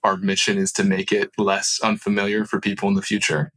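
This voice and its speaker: A man tells personal stories as an interview guest, informal and earnest. His pitch is a little low and stays quite even. The recording is dry and free of room sound. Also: fairly quick, no echo. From born in Southern California, grew up in Turkey. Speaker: podcast guest, aerospace founder. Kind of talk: casual personal storytelling in an interview.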